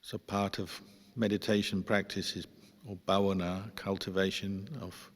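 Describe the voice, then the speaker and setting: A man, a Buddhist monk, guiding a meditation with measured instructions and pauses between short phrases. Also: low-pitched, little pitch movement. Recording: long hall-like reverb.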